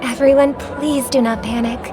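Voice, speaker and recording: A woman's voice: sits high, steady tone, normal speed, noisy background, natural voice. A woman playing a gracious young superstar singer in a video game, speaking politely and formally. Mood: gracious, calm. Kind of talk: scripted game voice acting. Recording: loud music behind.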